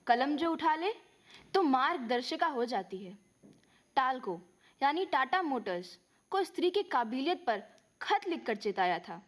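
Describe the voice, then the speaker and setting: High-pitched Indian woman reciting with lively swings. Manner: declamatory, deliberate. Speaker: performer reciting at a poetry dramatisation event. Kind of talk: dramatic poetry recitation.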